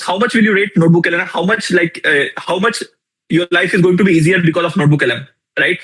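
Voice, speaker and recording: Energetic Indian man teaching a workshop. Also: high-pitched, slightly rough, rough recording. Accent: Indian English. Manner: energetic, repeats phrases, asks the audience questions. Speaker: workshop instructor. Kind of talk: live online tool demonstration.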